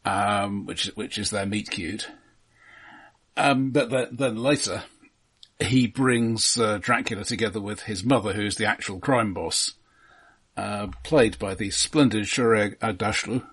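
A man with a lowish, somewhat husky voice discusses films casually as a podcast co-host. He is measured in delivery and openly opinionated. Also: a bit bright. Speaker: film review podcast co-host.

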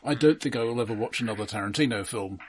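A man co-hosting a podcast, talking casually about films and haltingly repeating the first words of his sentences. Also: mid-range voice, slight background noise, rough recording. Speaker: podcast co-host. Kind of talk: casual film discussion.

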